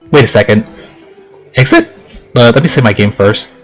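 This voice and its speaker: A young man records a casual let's play in a middle-pitched voice. He reads on-screen text aloud and drifts into chatty, rambling asides. Game music plays behind him. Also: animated, measured pace, vocal fry.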